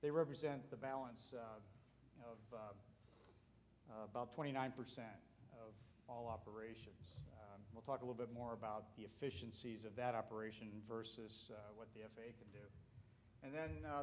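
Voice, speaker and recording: A man with an American accent giving an informal conference presentation, speaking conversationally with frequent filled pauses. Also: medium pitch, steady tone, slight background noise. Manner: conversational, colloquial.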